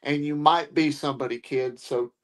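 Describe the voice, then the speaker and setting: A man with a slightly high voice talks as a guest wrestler. His delivery is casual and conversational. He is blunt, addressing rivals directly in the manner of trash talk. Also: steady tone, normal speed, fairly bright tone.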